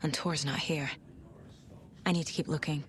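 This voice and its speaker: A woman playing a level-headed investigator in a game, measured and questioning. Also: low-pitched, fairly even intonation, slight background noise, close to an everyday voice. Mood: pensive. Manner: measured, questioning, muttering to herself. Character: focused, level-headed adult female investigator.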